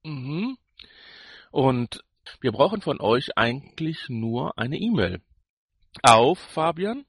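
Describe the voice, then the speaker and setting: A man's voice: medium pitch, big pitch swings, takes it slowly, pauses often, fairly bright tone, husky, no echo. A man co-hosting a podcast in casual banter, with a teasing, jokey manner. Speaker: podcast co-host.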